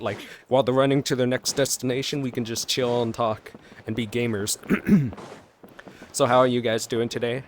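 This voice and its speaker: A man giving casual, jokey gaming reaction commentary, talking back at the characters. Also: mid-range voice. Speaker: reactor, video host.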